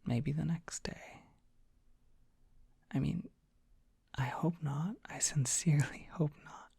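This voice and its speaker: A performer playing a dorky, gentle young dragon boy in an ASMR roleplay, speaking in a soft breathy whisper, tender and bashful. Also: medium pitch, steady tone, slow pace, no room sound, heavily acted. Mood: tender, sheepish. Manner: tender, bashful, hesitant, softly reassuring. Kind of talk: ASMR roleplay, intimate comforting monologue.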